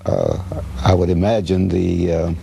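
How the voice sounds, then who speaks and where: An elderly American man recalls old times in an interview. His voice is low and mellow with a gravelly edge. He speaks haltingly, feeling his way through memories. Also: big pitch swings, slight background noise. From Chicago, United States. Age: 70s or older. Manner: halting, searching recollection. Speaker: radio veteran being interviewed. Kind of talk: reminiscing interview.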